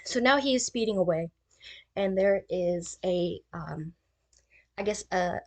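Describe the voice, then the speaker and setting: A woman's voice: fairly low pitch, a little slow, a little mellow. A woman giving casual theory commentary as a video essayist, thinking aloud in an informal, tentative way.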